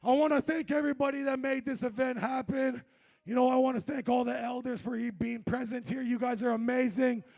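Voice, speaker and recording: A man performing on stage at a live community event, hoarse from losing his voice, calling out to the crowd on a handheld mic between songs. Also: very high voice, brisk. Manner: energetic, calls to the crowd. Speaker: performer hosting a stage set. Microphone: handheld microphone.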